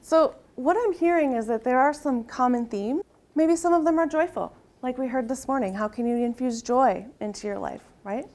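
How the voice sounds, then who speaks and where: A soft-spoken middle-aged woman giving a conference presentation, leading an audience exercise and asking "right?" often. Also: fairly high pitch. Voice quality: soft-spoken, tries to project. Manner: uses frequent rhetorical "right?" tags.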